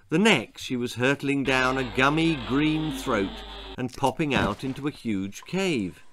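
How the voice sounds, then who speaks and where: A man's voice: medium pitch, fairly bright tone, slight background noise. A man reading a scripted children's story as its narrator, in a theatrical, suspenseful and emphatic manner. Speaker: author reading his own children's story.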